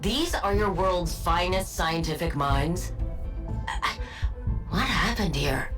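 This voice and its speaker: An actress playing a cold, calculating scientist who speaks in a clipped, serious way in a video game cutscene. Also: female voice, on the low side, lively intonation, slow. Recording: game music behind.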